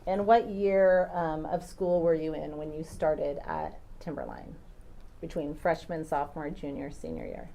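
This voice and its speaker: A woman attorney questions a witness in a courtroom. Her voice sits a little low, and she asks in a patient, measured way with small filler ums. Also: big pitch swings, creaky, mellow, slight background noise. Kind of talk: courtroom direct examination.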